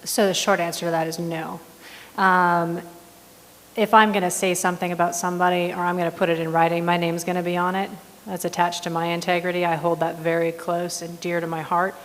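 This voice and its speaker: A woman running for county supervisor, answering in a debate in a firm, measured way with frequent ums. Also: fairly low pitch, flat delivery, a little hiss or hum. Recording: long hall reverb.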